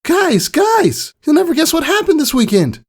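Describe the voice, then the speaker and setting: Storybook role: excitable young pony schoolgirl, acted by a man, very high voice, impatient, exclamatory delivery. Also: normal speed, heavily acted. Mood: excited, urgent. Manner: exclamatory, blurting. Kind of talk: animated storybook character voice.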